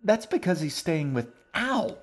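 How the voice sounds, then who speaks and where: A man's voice: a little high, very animated, unhurried, voice pushed up. A man voicing a curious, blunt young girl in an audiobook, speaking in short questions. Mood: surprised.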